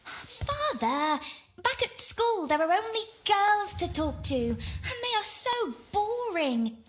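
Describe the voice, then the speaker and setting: A woman's voice: very high-pitched, very animated, slow pace, full character voice. A woman playing a dreamy, headstrong schoolgirl daughter in a video game, with an earnest, girlish, wistful manner. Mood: tentative.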